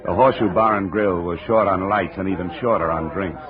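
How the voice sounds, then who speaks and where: An actor playing a terse, dry American investigator in a 1950s radio detective drama. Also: male voice, low voice, fairly quick, a crowd in the background, close to an everyday voice. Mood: dry, narrating. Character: hard-boiled, dogged investigator narrating his own case. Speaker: lead actor. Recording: narrow-band old radio recording, faint noise.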